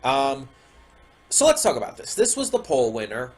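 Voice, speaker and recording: Casual reaction host, male, mid pitch, stuffed-up nose, rambly with frequent ums. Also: huge pitch swings, moderate pace, slightly rough. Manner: rambling, many ums and restarts. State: stuffy nose, slight sore throat. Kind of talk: casual chat to camera. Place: home room with a window.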